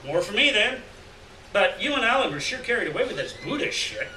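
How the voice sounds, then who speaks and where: A man's voice: very high voice, big pitch swings, brisk, bright, forceful tone, voice pushed up, full character voice. A man voicing a rowdy, crude, hard-drinking beatnik drifter in a live story reading, boisterous and slangy. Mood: boisterous, teasing. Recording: roomy, distant mic, faint noise.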